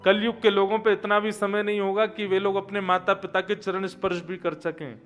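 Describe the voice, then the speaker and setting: A young male preacher with a high, bright voice delivers a devotional sermon. He tells stories in a lively way, dropping low and soft before rising to loud, emphatic calls. Faint instrumental music plays softly behind him. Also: normal speed. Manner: storytelling, swings from quiet to loud emphatic exclamations. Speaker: Bhagavat katha preacher. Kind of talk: devotional sermon with chanted Sanskrit verses.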